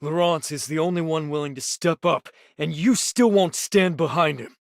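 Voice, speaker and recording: A loyal young pirate in an anime game, earnest and hot-headed. His voice is on the high side for a man and recorded dry. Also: normal speed, gravelly, no room sound. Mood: earnest, indignant. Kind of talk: scripted anime game dialogue.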